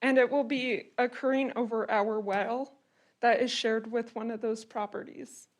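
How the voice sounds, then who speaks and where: A young woman giving public comment at a city hearing, reading a prepared statement in an earnest, measured, formal way. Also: a little high, steady tone.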